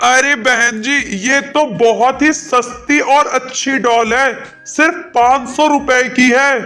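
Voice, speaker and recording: Man voicing a high-pitched haughty crow boss. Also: steady tone, slow, pushed and bright, faint music behind, exaggerated voice. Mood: salesman-like. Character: rich, stingy, bullying male crow boss and shopkeeper. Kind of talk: Hindi children's cartoon dialogue.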